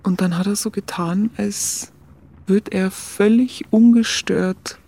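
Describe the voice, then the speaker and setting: A woman's voice: medium pitch, fairly even intonation, slow pace, mellow, slightly rough. An actress playing a curious, smitten young woman photographer in a radio play, chatty and colloquial with a Bavarian accent. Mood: wistful, musing. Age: young adult. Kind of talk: radio play narration and dialogue.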